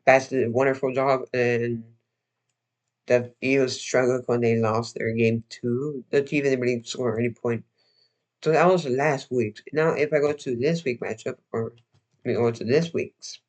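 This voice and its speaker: A young man hosting a casual livestream, slightly hoarse and losing his voice, talking in a rambling, halting way full of restarts and fillers. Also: mid-range voice, fairly even intonation. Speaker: livestream host.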